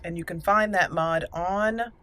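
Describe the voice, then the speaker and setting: A woman doing casual roleplay game commentary as a channel host, thinking aloud deliberately and reading out menu items. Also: fairly low pitch, fairly bright tone. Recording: noticeable background noise and game ambience.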